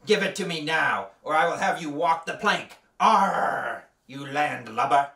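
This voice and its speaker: A man's voice: fairly high pitch, animated, unhurried, pushed and bright, distant mic. A man voicing a boastful, threatening male pirate captain who keeps growling "arr", recorded in an echoey room away from the mic.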